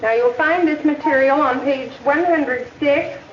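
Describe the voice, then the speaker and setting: A woman playing a strict, weary American high school teacher in a 1950s educational film, speaking formally and firmly. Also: a little slow. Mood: instructing, patient. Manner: firm, formal, instructing. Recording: muffled, echoey, noisy 1950s film soundtrack.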